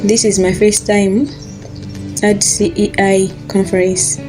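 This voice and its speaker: A woman in her twenties from Malawi, introducing herself in slow, careful, halting phrases. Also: medium pitch, level tone. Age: 20s. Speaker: student reporter. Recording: guitar music underneath.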